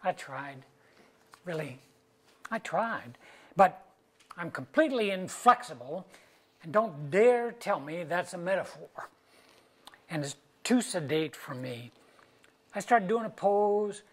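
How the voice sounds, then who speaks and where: A male actor playing an anxious, wry, amorous husband of about sixty in a staged play reading, husky, with a halting, self-mocking delivery. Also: high voice, lively intonation, fairly bright tone, heavily acted. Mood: exasperated, wry.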